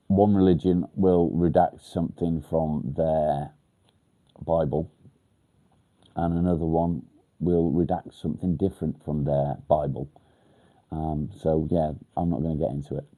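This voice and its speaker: A man's voice: extremely low pitch, fairly even intonation, a little slow, pauses often, narrow, tinny audio. A man from England hosts a livestream, answering viewer comments in a blunt, casual way. He sounds nasal and congested from a blocked nose. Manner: blunt, straight to the point. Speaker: livestream host.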